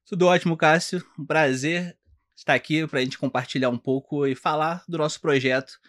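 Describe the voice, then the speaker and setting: A man from Rio de Janeiro with a Carioca accent, a doctor guest on a podcast, explaining his path in a halting way with many fillers and restarts. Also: a little high, steady tone, fairly bright tone. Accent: Carioca Brazilian Portuguese. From Rio de Janeiro, Brazil. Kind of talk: casual interview chat.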